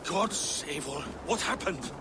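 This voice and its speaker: A man's voice: on the high side, animated, slow pace, background noise. An actor playing an older Viking king and father, stern and cautious, in a dramatic video game. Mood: alarmed, stern.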